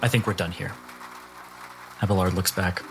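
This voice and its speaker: A man narrating a tabletop game as game master, slow and descriptive. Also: low voice, fairly even intonation, gravelly, very noisy. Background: music.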